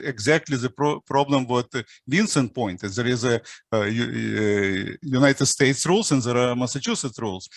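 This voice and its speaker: Guy moderating a tech panel, medium pitch, dry sound, choppy halting questions. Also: no room sound. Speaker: session moderator. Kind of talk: technical panel discussion.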